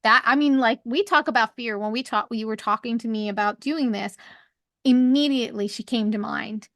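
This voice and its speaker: A woman with a higher voice, co-hosting a podcast and talking openly in a rambling, candid way. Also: moderate pace, fairly bright tone, dry recording, clean studio sound. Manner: rambling, restarts, laughs often. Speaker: podcast co-host. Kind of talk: candid conversational chat.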